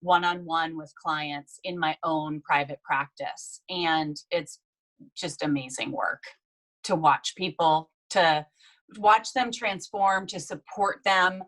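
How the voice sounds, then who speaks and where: A woman in her forties from the United States, a guest telling her life story in a casual, animated interview. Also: low-pitched, takes it slowly, rough recording.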